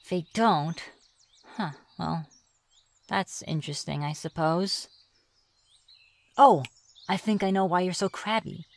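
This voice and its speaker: A woman playing a reclusive, flirtatious witch in an ASMR fantasy roleplay, with a playful, mocking manner. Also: lowish voice. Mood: mocking, flirty, then coaxing, teasing. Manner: playful, mocking, drawn-out taunting. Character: reclusive, teasing, flirtatious witch of the deep woods.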